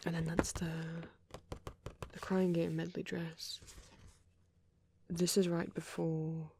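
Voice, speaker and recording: A woman voicing a shy vampire boy who rambles gently in an ASMR roleplay, soft-spoken and hesitant, slipping into breathy whispers. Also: sits low, full character voice.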